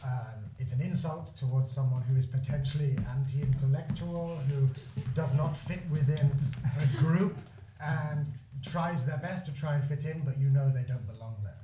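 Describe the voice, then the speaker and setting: A man on a panel explaining the meaning of a word in a measured way. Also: fairly high pitch, fairly even intonation, noisy background. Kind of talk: panel discussion. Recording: echoey room, distant mic.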